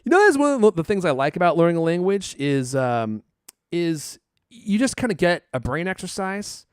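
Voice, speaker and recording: A man's voice: high voice, sing-song, moderate pace, clean tone, no echo, clean studio sound. A man hosting a podcast, chatting casually and reading ads in a jokey, teasing way with theatrical emphasis. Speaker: podcast host. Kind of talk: casual chat and ad reads.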